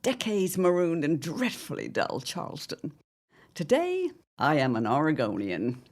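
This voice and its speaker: An actress as a sly, domineering older mother-in-law from an audio drama. Her voice is very low for a woman and swoops widely as she talks down to people. She is pompous and condescending, leaning grandly on her words. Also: wildly swooping pitch, darkish tone, exaggerated voice. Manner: pompous, condescending, drawn-out emphatic phrasing. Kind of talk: theatrical audio drama acting.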